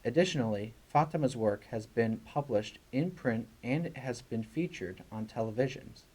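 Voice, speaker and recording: A man's voice: mid-range voice, normal speed, mellow, breathy edge, low-quality recording. A young man co-hosting a student interview show, reading a scripted intro and questions with occasional stumbles. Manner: stumbles while reading aloud. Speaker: co-host.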